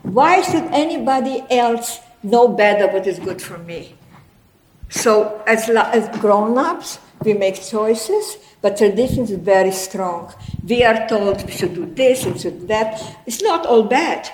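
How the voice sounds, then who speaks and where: A woman in her eighties whose first language is German, telling her life story to an audience in a rambling, lively way with many restarts. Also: on the high side, a little slow, roomy sound, slight background noise, rough recording. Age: 80s. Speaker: guest speaker.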